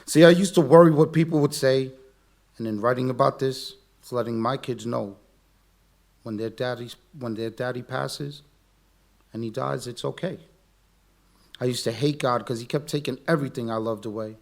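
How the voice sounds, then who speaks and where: A man in his forties performing a spoken word poem at an open mic, earnest, slow and heartfelt. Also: mid-range voice, vocal fry. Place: community center event.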